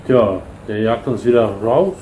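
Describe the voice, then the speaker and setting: A man's voice: fairly low pitch, measured pace, mellow. A man giving casual gameplay commentary in German as a let's player. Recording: roomy echo, faint background noise.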